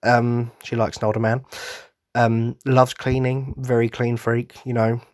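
A man hosting a casual fan podcast, tired and losing his voice, husky, rambling with lots of ums and restarts. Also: medium pitch, level tone, a little slow, a little mellow, dry recording, clean studio sound. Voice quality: husky, throat-clearing. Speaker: podcast host.

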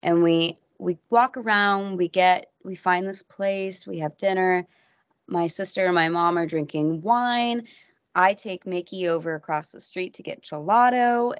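An American woman, a podcast guest, telling a travel story in a casual, animated way that keeps breaking off and acting out what people said. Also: on the low side, a little slow, clear, resonant tone, dry recording. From United States. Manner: animated, self-interrupting, acts out quotes. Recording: dull lo-fi audio.

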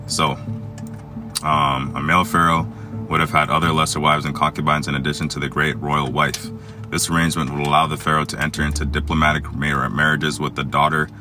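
A man from Egypt hosting a solo podcast, reading a text aloud and commenting on it in a halting way with many fillers and restarts. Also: very deep voice. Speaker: podcast host. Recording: music playing underneath.

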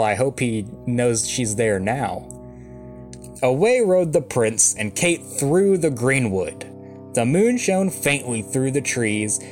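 A man reading a fairy tale aloud as an expressive storyteller, with a wry, dry comic touch. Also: medium pitch. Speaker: storyteller, reader. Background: soft guitar music.

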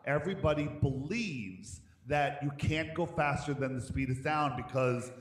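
An insistent, scornful man argues his case in a hard-edged monologue. His voice rings with heavy echo while loud music plays beneath it, as if cut into a remix. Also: fairly high pitch, lively intonation, moderate pace. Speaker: flat earth speaker in a played clip. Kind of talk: emphatic argumentative monologue.

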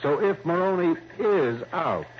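An American actor playing a gruff, suspicious but protective police lieutenant in a 1960s radio drama, insistent and familiar. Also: male voice, on the high side, lively intonation, a little slow. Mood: suspicious, earnest. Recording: dull, hissy old radio recording.

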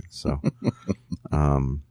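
A man's voice: very deep voice, monotone, drawn-out words, gravelly, mellow, no room sound, clean studio sound. A man in his sixties, a songwriter guest musing candidly in an interview, thinking aloud as he goes. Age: 60s. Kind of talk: reflective interview conversation.

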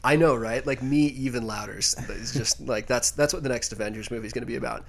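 A young man with a naturally loud voice, chatting casually as a podcast co-host. He rambles and restarts his sentences, with his pitch sitting right in the middle. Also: quick pace, gravelly.

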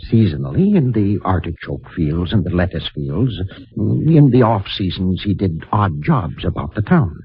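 An actor playing a kindly, righteous mission priest in a gentle, measured, formal manner, in a 1950s radio drama. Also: male voice, low-pitched, very animated, slightly rough, no room sound, exaggerated voice. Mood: informative, helpful. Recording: muffled, narrow-band vintage radio recording.

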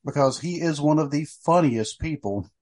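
A man's voice: a little high, lively intonation, normal speed, a little mellow. Rambling middle-aged man hosting a podcast. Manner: rambling, many fillers and restarts. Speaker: podcast host. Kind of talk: casual chat.

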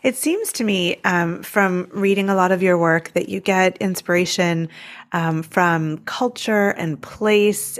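A woman hosting a casual interview, encouraging her guest with frequent short backchannels. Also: mid-range voice. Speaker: podcast host.

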